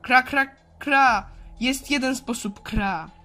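A woman's voice: sits high, slow, bright, forceful tone, faint music behind, heavily acted. A woman voicing a menacing male crow-man monster who caws between phrases in a children's audio play. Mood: menacing, boastful. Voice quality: squawking, cawing. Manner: punctuates speech with crow caws.

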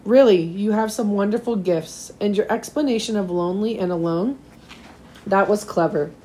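A slightly hoarse woman voicing a kind, encouraging male schoolteacher from a children's storybook, with gentle coaxing questions. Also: medium pitch, normal speed, natural voice, rough recording. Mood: admiring.

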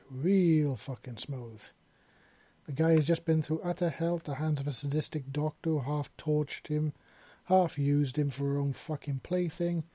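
A man plays a female machine in a sci-fi storybook. She is sarcastic, scolding and protective, pitched a bit up, with a dry, close sound. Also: mellow, breathy edge, no room sound.

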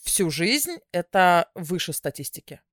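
A woman co-hosting a podcast, talking in a lively, chatty way and laughing easily. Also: lowish voice, unhurried, no room sound, studio quality. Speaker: podcast co-host. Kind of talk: casual chat.

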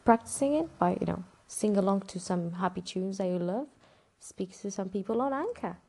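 Woman, 30s, gravelly fry, easygoing interview guest who rambles with fillers. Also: medium pitch, normal speed. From Romania. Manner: relaxed, rambling, with fillers and small laughs. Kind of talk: casual interview answers.

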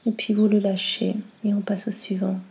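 Softly spoken woman with a very mellow voice. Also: mid-range voice, little pitch movement, low-quality recording. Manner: soft, breathy, soothing delivery with short phrases and long pauses. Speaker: yoga nidra guide. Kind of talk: guided relaxation meditation.

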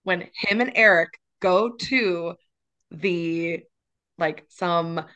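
A woman's voice: on the low side, drawn-out words, sharp tone, highs cut off. A woman co-hosting a podcast in casual chat, rambling and giggly, sometimes breaking into song. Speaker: podcast co-host.

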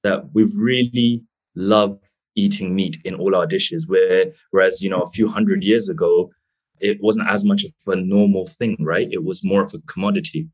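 A man hosting an interview, talking in a relaxed, conversational way and using Islamic phrases. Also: low-pitched, moderate pace. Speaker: host. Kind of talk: casual interview.

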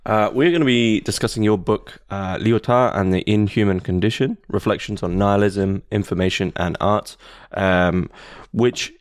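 A man hosting a podcast interview in a casual way, often pausing with fillers and restarting his sentences. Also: on the low side, steady tone, dry recording, clean studio sound. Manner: hesitant, many fillers and restarts. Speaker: podcast host.

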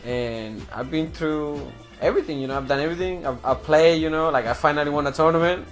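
A man's voice: fairly high pitch, fast talker, soft background music. A young man from Chile with a Chilean Spanish accent, chatting loosely in an interview about fighting games, full of "bro" and "you know". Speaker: fighting game player and commentator. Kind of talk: casual interview.

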